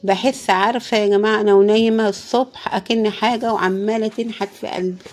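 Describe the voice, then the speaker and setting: A woman with an Egyptian Arabic accent and a hoarse, tired voice, chatting casually as she cooks. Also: medium pitch, steady tone, fast talker. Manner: tired, pious, often praising God. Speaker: cooking vlogger. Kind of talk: casual cooking commentary.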